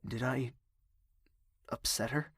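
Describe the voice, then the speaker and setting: A man playing a brooding, menacing young prince in an audio drama, speaking in a measured, gravelly, husky voice. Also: mid-range voice, steady tone, fairly bright tone, no room sound, clean studio sound. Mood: wistful, brooding. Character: brooding, menacing young prince, tender toward his mother.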